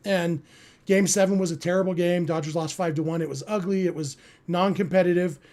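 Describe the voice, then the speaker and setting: A man's voice: sits high, steady tone, brisk, darkish tone. An American man from California hosting a sports podcast, chatting casually and earnestly as he answers listeners. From California, United States.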